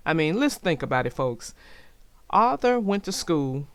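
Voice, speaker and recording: Slow, animated woman storyteller for children. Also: sits low, no echo. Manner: deliberate, expressive, addresses young listeners directly. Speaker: children's story narrator and channel host. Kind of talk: read-aloud storytelling for young children. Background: music.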